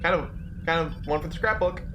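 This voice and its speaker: Playful man chatting over clear noise. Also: fairly high pitch, a little fast. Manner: riffing, playful, tongue-in-cheek. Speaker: player. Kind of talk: casual joking table chat. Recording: noisy home recording.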